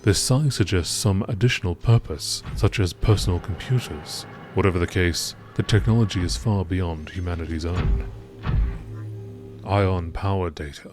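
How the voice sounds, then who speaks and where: A man reading scripted science-fiction lore in the measured, informative style of a nature documentary narrator. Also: deep voice, music in the background.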